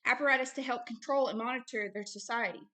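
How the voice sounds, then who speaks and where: A woman with a fairly high voice delivers unscripted religious teaching. She is emphatic and didactic, breaking her thoughts into short phrases. Key words come back again and again as she drives each point home. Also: fast, bright, forceful tone. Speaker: religious teacher and show host. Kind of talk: extemporaneous Bible teaching and book commentary.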